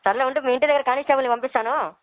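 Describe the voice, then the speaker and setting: A woman's voice: high voice, steady tone, racing, no room sound, natural voice. A woman playing a stern, threatening police officer on a prank phone call, questioning and scolding bossily in a Rayalaseema Telugu accent. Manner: bossy, interrogating. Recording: dull, lo-fi phone call recording.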